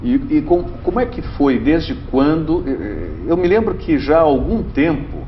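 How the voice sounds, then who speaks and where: A man's voice: fairly high pitch, very animated, unhurried, slight background noise. A man hosting a TV interview show, speaking, chatty and full of tag questions. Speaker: TV host. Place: TV studio. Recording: roomy, dull TV audio.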